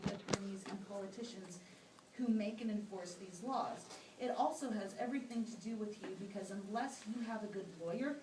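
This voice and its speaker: A woman's voice: medium pitch, darkish tone, reverberant, recorded from a distance, a little hiss or hum, natural voice, low-quality recording. A woman voicing a knowing, cynical ghost who explains things matter-of-factly in a puppet show. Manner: lecturing, explanatory.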